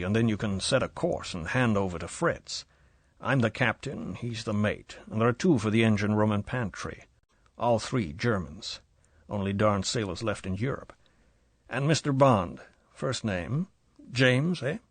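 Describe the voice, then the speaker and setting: A man's voice: on the low side, no echo. A man voicing a bullying rich American yacht owner who talks in a mocking drawl, with an American accent.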